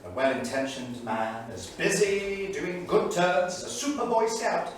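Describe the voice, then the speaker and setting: An actor playing a grave, anguished English intellectual diarist of 1938, reading aloud in a measured, erudite and emphatic stage manner. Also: male voice, high-pitched, animated. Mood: sardonic, alarmed. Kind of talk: theatrical staged reading of a diary. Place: small theatre studio, indoors. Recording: echoey theatre studio from far off, faint noise.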